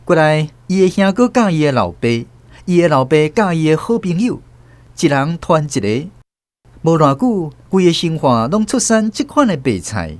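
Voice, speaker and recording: Expressive male radio drama narrator. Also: fairly high pitch, normal speed, no room sound. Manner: expressive storytelling. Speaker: host and narrator.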